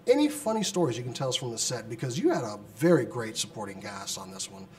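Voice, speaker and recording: Mid-pitched interviewer asking film questions. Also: male voice, big pitch swings, a little mellow. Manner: reads out questions, slightly halting. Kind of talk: entertainment press interview.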